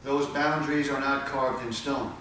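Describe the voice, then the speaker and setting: A man explains movements slowly and patiently, breaking his sentences into short pieces. He stands well away from the mic in a very echoey space. A steady hiss of noise sits under his voice. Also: medium pitch. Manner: plain, patient explaining with pauses. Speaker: tai chi instructor. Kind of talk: spoken instruction while demonstrating. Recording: echoey, distant with background noise.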